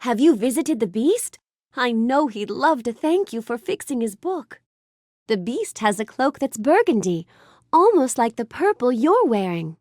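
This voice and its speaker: A woman's voice: fairly high pitch, big pitch swings, unhurried, darkish tone, dry recording, clean studio sound. A woman voicing a gracious, bookish young storybook heroine in a video game, speaking politely and expressively with careful enunciation. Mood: friendly curiosity. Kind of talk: scripted video game character lines.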